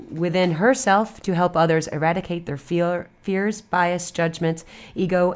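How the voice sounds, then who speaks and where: A woman's voice: lowish voice, darkish tone. A woman radio host with a bubbly, rambling manner, laughing at herself as she introduces her guests. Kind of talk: casual radio chat. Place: radio studio.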